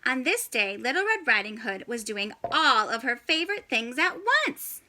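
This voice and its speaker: A woman reading a children's story aloud in an animated, expressive way with dramatic pauses. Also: a little high, a little hiss or hum.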